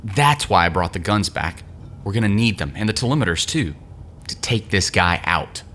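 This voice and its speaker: Low-pitched, cagey man playing a brash agent. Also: expressive, moderate pace, fairly bright tone, a little hiss or hum. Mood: earnest, explaining. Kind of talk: audio drama acting.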